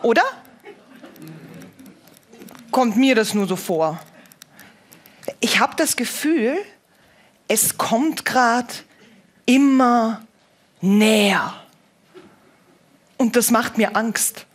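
A woman in her thirties from Vienna performs cabaret with a theatrical, teasing delivery, leaving pauses for effect. She speaks slowly, in a fairly high voice. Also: lively intonation. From Vienna, Austria. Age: 30s. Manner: theatrical, playful self-doubting delivery with timed pauses.

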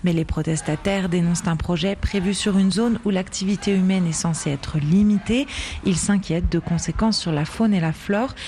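A man's voice: high-pitched, moderate pace, a little mellow, a little hiss or hum. A man reporting from an outdoor protest for the radio news in a formal way. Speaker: field reporter.

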